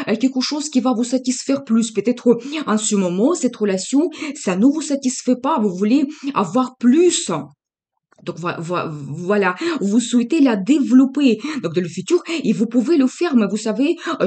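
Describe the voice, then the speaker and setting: A woman talks through a tarot spread, speaking directly to the person it is for. Her voice sits a bit high but sounds mellow and warm. She pauses and fills gaps with hesitant filler sounds while staying reassuring. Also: breathy edge. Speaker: tarot card reader. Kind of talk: tarot reading addressed to the viewer.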